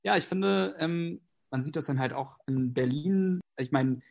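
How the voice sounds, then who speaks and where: A man's voice: a little high, measured pace, creaky. Reflective man, native English speaker. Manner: reflective, frequent fillers. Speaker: conversation partner. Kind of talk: casual conversation.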